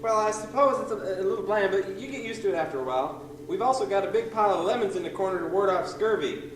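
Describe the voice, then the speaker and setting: A man playing a folksy, resigned pig ensign on a pirate ship, stuttering and halting, in old-time radio comedy style. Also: high voice, animated. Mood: matter-of-fact. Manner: folksy, halting, eager to comply. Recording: noisy, echoey old tape, distant mic.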